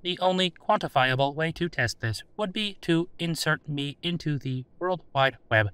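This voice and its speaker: A man's voice: fairly high pitch, normal speed, a bit bright, smooth, no room sound, close to an everyday voice. A man voicing an old artificial intelligence in an audio drama, formal, literal and emotionless in a stilted manner. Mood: literal, guarded. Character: old male-voiced artificial intelligence, cold, literal, guarded.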